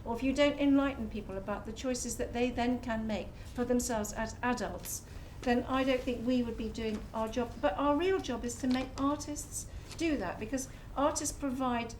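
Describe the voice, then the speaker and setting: A woman on a discussion panel, her voice sitting fairly high. She argues her point in an earnest, measured way. A faint low rumble runs behind her. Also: a little mellow. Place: public talk venue.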